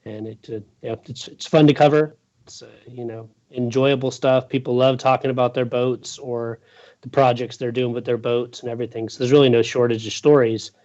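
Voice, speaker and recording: A man's voice: mid-range voice, flat delivery, a little fast, a little mellow, highs cut off. A man chatting casually and informally as an interview guest, rambling a little as he goes. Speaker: guest, boating news journalist. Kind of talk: casual chat.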